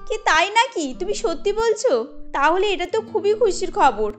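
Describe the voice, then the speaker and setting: A woman's voice: very high-pitched, lively intonation, normal speed, soft background music, voice pushed up. A woman voicing a poor farmer's supportive, hopeful wife in a cartoon. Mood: delighted.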